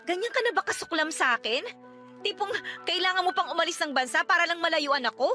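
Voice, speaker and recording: Hurt, clinging wife for radio drama; high, tense voice, pleading and emotional. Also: female voice, expressive, quick pace, pushed and bright, soft background music, heavily acted. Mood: hurt, defiant. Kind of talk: radio drama dialogue in Tagalog.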